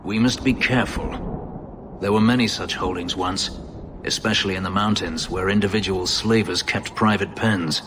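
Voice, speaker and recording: A man's voice: deep voice, normal speed, noisy background, close to an everyday voice. An actor playing a bitter, brooding male elf who escaped slavery, speaking grimly and formally in a video game. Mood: wary, resentful. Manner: grim, terse, formal phrasing.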